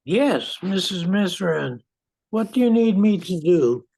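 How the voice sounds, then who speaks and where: An unflappable newsroom man in an old-time radio comedy, played by a woman. The voice is soft-edged and mellow, with slow, measured explanations. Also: on the low side, expressive, husky, full character voice. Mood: deferential. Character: unflappable male news office colleague.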